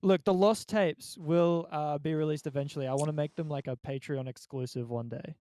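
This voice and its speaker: A man's voice: on the high side, steady tone, brisk, dark tone. A young man with an Australian accent hosting a casual group podcast chat. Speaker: podcast host.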